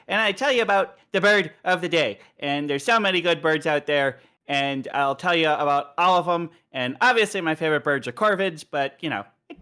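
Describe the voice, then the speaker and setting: A man's voice: fairly high pitch, pushed and bright. A man talking casually and playfully as a guest on a livestream. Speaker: streamer guest, poet.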